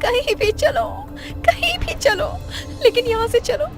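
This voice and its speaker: A woman's voice: extremely high pitch, lively intonation, unhurried. A woman voicing a frightened young woman in a cartoon horror story, pleading and panicky, at times crying. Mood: terrified. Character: frightened young woman trapped in a haunted forest. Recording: background music under voice.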